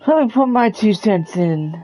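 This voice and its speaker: A man giving casual, unscripted opinion commentary in a halting, hesitant way. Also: high voice, expressive, mellow. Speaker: video uploader giving commentary.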